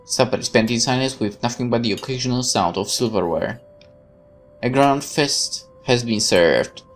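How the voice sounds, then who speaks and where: Really deep voiced lady doing a lets play, reading visual novel story out loud w little asides, faint music behind. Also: normal speed. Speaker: let's play host.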